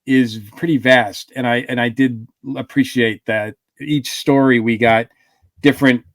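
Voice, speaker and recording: A man's voice: medium pitch, fairly even intonation, measured pace, dry recording. A man co-hosting a casual podcast review, rambling with lots of fillers and restarts. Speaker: podcast co-host.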